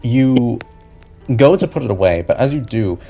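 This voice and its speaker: A man's voice: mid-range voice, lively intonation, moderate pace, gravelly, dark tone. A man narrating a tabletop role-playing game as game master, improvising the scene for a player. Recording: music behind the voice.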